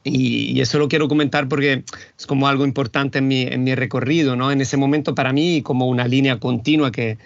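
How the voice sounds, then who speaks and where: A man from Italy, an Italian native speaker, speaking as a guest in a thoughtful, measured way, pausing to find his words. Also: mid-range voice, steady tone, gravelly, dry recording, dull audio. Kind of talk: reflective interview talk. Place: home, Barcelona.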